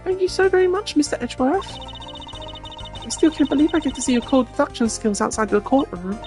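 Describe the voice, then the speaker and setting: Female actor for unlucky, polite video game guard, fast-talking and self-deprecating, raised pitch, over strong music. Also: lively intonation. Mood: grateful, chatty. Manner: chatty, deferential. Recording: loud music under the voice.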